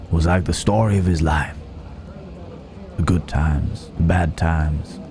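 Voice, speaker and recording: A man playing a gallant yet menacing Wild West cowboy in an intimate ASMR roleplay, unhurried, with pauses and folksy old-fashioned phrasing. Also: a bass voice, steady tone, noisy background. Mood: wistful, reflective. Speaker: voice actor in an audio roleplay.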